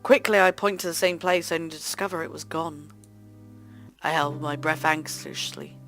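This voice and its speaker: A woman hosting a let's play, reading visual novel narration aloud in an expressive, theatrical way. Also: low-pitched, normal speed, soft background music. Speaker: host of a gaming let's play channel.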